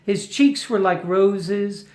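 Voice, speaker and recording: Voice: medium pitch, slow, darkish tone. An older person reading a storybook aloud to a baby, affectionate and playful, with chatty asides and emphatic delivery. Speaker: family member reading to a baby. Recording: roomy home recording with echo.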